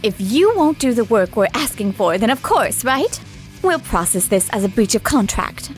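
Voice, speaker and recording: A woman's voice: on the high side, sing-song, moderate pace, faint music behind. A woman voicing a polite but blunt factory client in a manga drama dub, politely cutting in manner. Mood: blunt, displeased.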